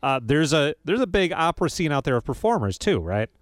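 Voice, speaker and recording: A male radio host talks quickly and in a friendly way, taking calls on the air. His voice is close and dry, recorded at a studio microphone. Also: fairly high pitch, sing-song, no echo. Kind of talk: radio call-in interview.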